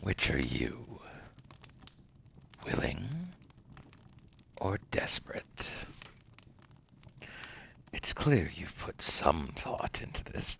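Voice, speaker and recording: Seductive demon banker for ASMR roleplay male performer: rock-bottom pitch, half-whispered, slow and silky, smug, faint noise behind. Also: sharp tone, exaggerated voice. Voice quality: gravelly, breathy half-whisper. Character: seductive, condescending male demon dealmaker, suave otherworldly banker.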